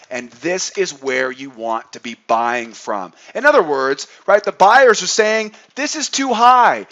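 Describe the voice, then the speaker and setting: Emphatic higher-voiced man teaching, slightly dull audio. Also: fairly bright tone. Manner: emphatic, repetitive, checks in with "right?". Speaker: trading instructor and channel host. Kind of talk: informal market chart tutorial commentary.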